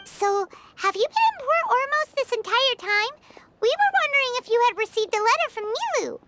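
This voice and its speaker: A woman voicing a small, excitable fairy-like girl companion in an anime game, with childlike, exclamatory delivery. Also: very high-pitched, moderate pace, very noisy. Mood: chatty. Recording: music bed behind voice.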